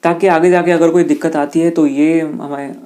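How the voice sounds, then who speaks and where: Indian man teaching, in a mellow voice. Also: fairly high pitch, flat delivery. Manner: conversational, addresses listeners directly, reads English passages haltingly. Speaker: exam-prep teacher explaining law. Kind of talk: informal explainer lesson, alternating reading aloud with explanation.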